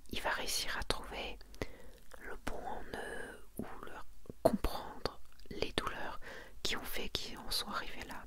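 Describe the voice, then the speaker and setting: A woman whispering in a breathy, intimate ASMR style, casually presenting her collection to one listener. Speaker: ASMR video creator presenting a manga collection.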